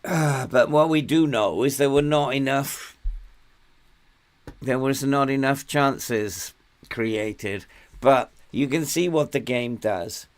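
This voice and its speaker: An older man from England with a hoarse, failing voice, commenting casually on a football management game and muttering to himself as he plays. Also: medium pitch, slow. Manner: muttering, talking to himself while playing. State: losing his voice, hoarse. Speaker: gaming YouTuber.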